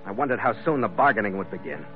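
A man's voice: fairly low pitch, very animated, quick pace, phone-quality sound. An actor playing a tough, lovestruck sea captain who tells his own adventure tersely in 1940s radio drama style. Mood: brooding narration. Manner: hard-boiled, terse. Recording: thin vintage radio recording with music.

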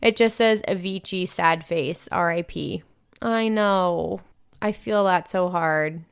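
A woman's voice: fairly low pitch, slow pace, creaky, smooth. A young woman with a New Jersey American accent, a podcast host reading listener emails aloud and reacting in an effusive, slangy, casual way with some swearing. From New Jersey, United States.

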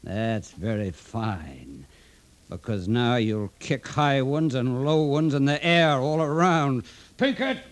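A man's voice: medium pitch, expressive, slow. An actor playing a menacing, mocking bully in a 1950s radio drama, drawing out his taunts. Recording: noisy old radio recording with clicks.

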